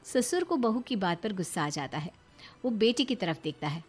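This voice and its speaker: A woman narrating a cartoon family story in a steady storytelling manner. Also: medium pitch, normal speed, husky. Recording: faint background music.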